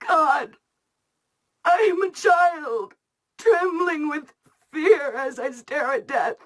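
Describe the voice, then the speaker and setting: Video game role: anguished praying mother, half-whispered, very slow, high-pitched, penitent. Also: full character voice. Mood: tearful, pleading. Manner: breathy, half-whispered, pleading. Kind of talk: dramatic video game monologue.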